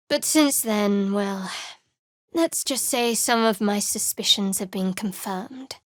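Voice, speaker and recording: A woman voicing a guarded, sardonic young woman cleric in a fantasy video game, with a measured, dry delivery. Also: a little high, fairly bright tone, dry recording.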